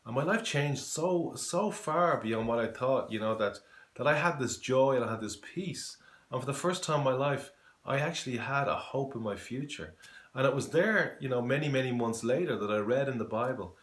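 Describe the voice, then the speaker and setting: A man in his forties from Dublin with a Dublin Irish accent, giving an earnest personal faith testimony, halting with many fillers and restarts. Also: mid-range voice, expressive, a bit bright. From Ireland. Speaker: testimony giver. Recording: echoey room.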